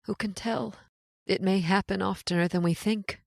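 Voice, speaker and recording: A woman acts out a tearless, fatalistic castaway in a prehistoric world. Her mid-pitched voice is dry and grave, with the deliberate drama of an old-time radio horror play. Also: fairly even intonation, creaky, no echo. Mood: weary, resigned.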